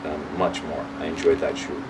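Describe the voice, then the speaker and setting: A man's voice: rumbling low, moderate pace. A young adult man, an actor, chatting casually in an interview and telling stories with lots of restarts and fillers. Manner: lively storyteller, acts out his own past lines. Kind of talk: casual chat. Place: indoor sit-down interview. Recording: distant mic with clear background hum.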